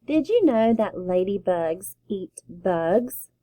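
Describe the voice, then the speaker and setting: A woman's voice: mid-range voice, very animated, slow pace, dark tone, dry recording. A woman voicing an eager little girl who plays a superhero, pleading and emphatic.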